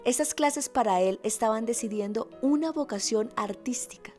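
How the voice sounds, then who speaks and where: A woman's voice: on the high side, moderate pace, a little mellow. A woman reading scripted documentary narration. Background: violin music.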